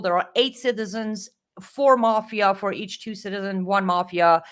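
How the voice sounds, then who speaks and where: A woman with a Middle Eastern accent co-hosting a live stream, talking in a lively, emphatic way. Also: medium pitch. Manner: animated, talkative, emphatic. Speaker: co-host. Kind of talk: casual live-stream commentary.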